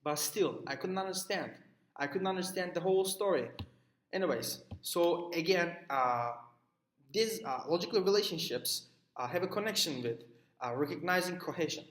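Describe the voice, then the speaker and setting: A man's voice: sits high, normal speed, fairly bright tone. Hesitant Turkish man in an echoey room. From Turkey. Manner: many "uh" fillers and restarts, explaining with personal examples. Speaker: student presenting a reading assignment. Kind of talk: hesitant spoken summary for a class video.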